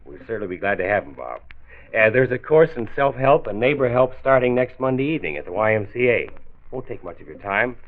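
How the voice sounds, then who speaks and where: An actor playing a friendly, earnest health commissioner in a 1940s American radio drama, speaking in a measured, persuasive, polite way. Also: male voice, medium pitch, very animated, close to an everyday voice. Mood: earnest persuasion. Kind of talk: 1940s radio acting and narration. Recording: muffled 1940s radio transcription disc.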